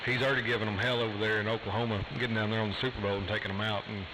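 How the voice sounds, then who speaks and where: A man's voice: on the low side, flat delivery, rapid-fire. A man chatting casually in folksy rural phrases as a CB radio operator. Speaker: CB radio operator, channel regular. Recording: very noisy, hissy live CB radio stream.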